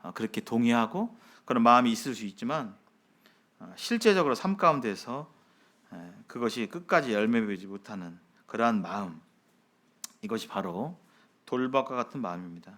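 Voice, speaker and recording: A male pastor preaching a sermon in a measured way, with frequent fillers and rhetorical questions to the congregation. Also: medium pitch, expressive, fairly bright tone.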